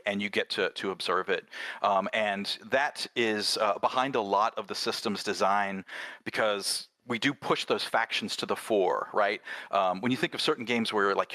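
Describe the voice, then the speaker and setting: A man's voice: lowish voice, sharp tone, no echo. A man who creates tabletop games, chatting casually and explaining his ideas in a conversational way. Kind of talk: casual panel chat.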